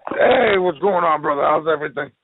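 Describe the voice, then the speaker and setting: A man's voice: fairly high pitch, animated, a little fast, no echo. A man giving a casual interview as a professional wrestler, blunt, streetwise and full of slang, over a phone line. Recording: hissy, noisy, tinny phone line.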